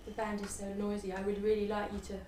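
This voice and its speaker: A woman's voice: medium pitch, completely flat delivery, fast, darkish tone, distant mic, slight background noise. A woman interviewer asks hesitant, winding questions full of restarts. Her voice sits in the middle range and sounds off-mic. The room around her is echoey, with a faint murmur of ambience behind. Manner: hesitant, many fillers and restarts.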